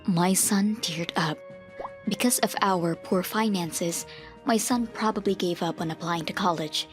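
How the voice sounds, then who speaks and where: Low-pitched earnest mother, played by a woman. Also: unhurried, soft background music, close to an everyday voice. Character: devoted, self-sacrificing single mother narrating her story. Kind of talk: manga dub story narration.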